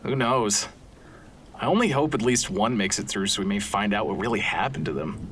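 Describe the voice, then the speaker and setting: A man's voice: fairly low pitch, moderate pace, fairly bright tone, slight background noise, close to an everyday voice. A man playing an earnest, anxious archaeologist's assistant with a wry streak in an audio drama. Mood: shaken. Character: male archaeologist's assistant, anxious, deferential, wryly sarcastic.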